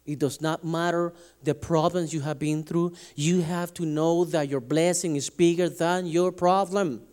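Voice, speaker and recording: Emphatic high-voiced male preacher. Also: steady tone, unhurried, a little mellow. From Latin America. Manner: emphatic, restarts and stumbles over words. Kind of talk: sermon.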